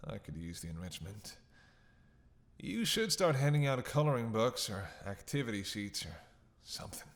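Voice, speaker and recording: A man's voice: medium pitch, very animated, moderate pace. A man playing a snarky, defiant captive vampire in a roleplay audio drama, sarcastic, teasing and theatrical, with a drawl. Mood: sardonic, playful.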